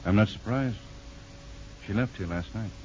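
An actor in a 1950s radio drama playing a brisk, kindly hospital doctor with a paternal manner. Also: male voice, lowish voice, mellow, a little hiss or hum, close to an everyday voice. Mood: matter-of-fact. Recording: dull 1950s radio broadcast recording.

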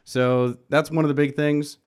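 A man's voice: on the high side, flat delivery, normal speed, a little mellow, clean tone. Casual man explaining game rules. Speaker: game master of a tabletop horror podcast.

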